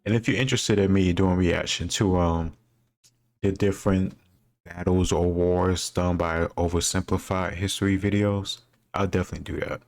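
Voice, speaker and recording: A man's voice: low-pitched, fairly even intonation, moderate pace. A man giving casual, off-the-cuff commentary as he answers quiz questions, informally thinking aloud. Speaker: reaction video host.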